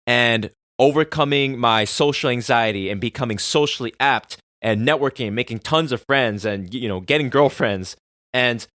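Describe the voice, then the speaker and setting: Podcast host, man in his thirties, Korean native speaker, earnest and excitable, dry close-miked sound. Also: medium pitch, moderate pace, no room sound. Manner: earnest, excitable, swings from soft musing to loud swearing. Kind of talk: solo podcast monologue.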